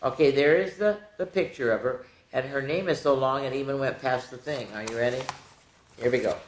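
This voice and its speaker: A woman's voice: a bass voice, a little fast, a little hiss or hum. In a roomy, echoing space, an older woman hosts a children's story time. She speaks in a playful, folksy way, breaking from the book for chatty asides to the kids. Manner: playful, folksy, addresses the young listeners directly. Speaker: storytime host reading picture books to children.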